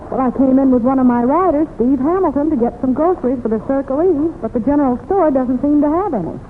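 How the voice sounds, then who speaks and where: An old radio western with a narrow, faintly noisy sound. An actress plays a sensible American ranch woman, high-voiced and spirited. She speaks plainly and gets straight to the point. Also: fast talker, close to an everyday voice. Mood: matter-of-fact. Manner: plain-spoken, direct. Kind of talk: 1940s-50s radio western acting.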